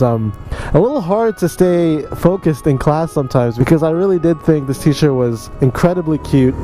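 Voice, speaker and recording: A man's voice: on the high side, mellow. A man telling a casual story in an informal, conversational vlog style, full of slangy emphasis. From Canada. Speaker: motorcycle vlogger telling a story. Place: riding a motorcycle outdoors. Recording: vehicle and outdoor noise behind voice. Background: faint vehicle and road noise.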